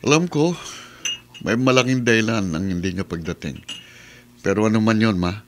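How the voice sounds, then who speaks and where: A man's voice: lowish voice, normal speed, background noise, lightly acted. A man playing an earnest, loyal young groom in a radio soap, pleading in Tagalog mixed with English. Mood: hurt, steadfast. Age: young adult. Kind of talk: Philippine radio soap opera acting.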